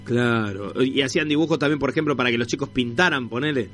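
A man's voice: mid-range voice, fast talker, a bit bright. Argentine male radio host, music underneath. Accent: Rioplatense Argentine. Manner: attentive, frequently interjecting short acknowledgements. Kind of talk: casual conversational interview.

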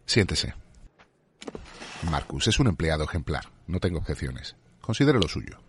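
A man's voice: very deep voice, sing-song, moderate pace, slight background noise, full character voice. A man from playing a curt, dismissive office boss in a formal, dry audio drama scene. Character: curt, dismissive male boss at a government department. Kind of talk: scripted audio drama dialogue.

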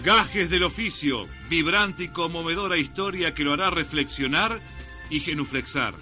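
A man acting a grandiose radio serial announcer with a fairly high voice. He delivers each phrase with mock drama over prominent music. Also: moderate pace, lightly acted. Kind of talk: old-time radio serial introduction.

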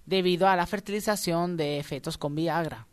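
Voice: medium pitch, normal speed, smooth, no echo. A radio co-host in casual comic chat, playful and mock-dramatic while explaining things.

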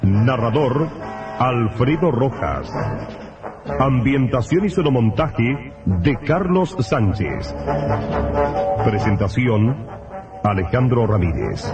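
A man reading scripted closing credits as a radio announcer. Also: mid-range voice. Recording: muffled radio recording, loud music.

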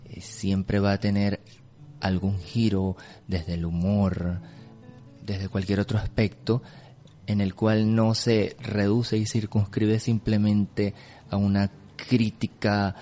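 A man with a Venezuelan accent, an interview guest explaining his views emphatically and sometimes pausing to find words. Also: lowish voice, level tone, mellow, soft background music. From Caracas, Venezuela. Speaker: film festival director, interview guest. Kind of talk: spontaneous radio interview, opinionated explanation. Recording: clearly audible background noise.

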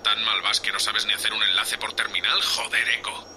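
A man's voice: on the low side, little pitch movement, brisk, sharp tone, a little hiss or hum. A man with a Spain Spanish accent playing a foul-mouthed, exasperated spaceship captain who scolds curtly in a sci-fi audio drama. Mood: irritated.

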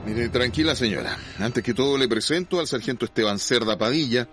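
An actor playing an authoritative Chilean army commander in a radio drama, with a formal, measured manner. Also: male voice, medium pitch, music in the background, lightly acted. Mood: formal, grave.

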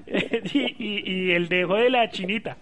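A man talking football casually with co-hosts, chatty and quick to laugh. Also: high-pitched, background noise. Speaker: sports talk host. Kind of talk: casual sports chat.

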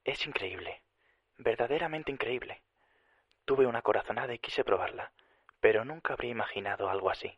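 A man playing a composed, calculating detective heard as an unseen broadcast voice in an anime radio drama, measured and intrigued. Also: mid-range voice, no echo, natural voice. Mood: intrigued, challenging. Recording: dull, lo-fi transmitted voice, radio-like.

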